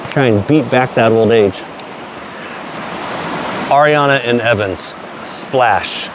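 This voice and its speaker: A man's voice: medium pitch, takes it slowly, background noise. A man in his late forties casually reviewing shaving products, enthusiastic and plainspoken, with some mild swearing. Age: 40s. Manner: enthusiastic, plainspoken, mildly profane.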